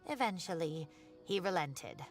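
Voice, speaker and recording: An actress playing a fretful, gossipy older professor in an anime video game, expressive and unhurried. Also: female voice, on the low side. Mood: explaining, concerned. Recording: soft game music behind the voice.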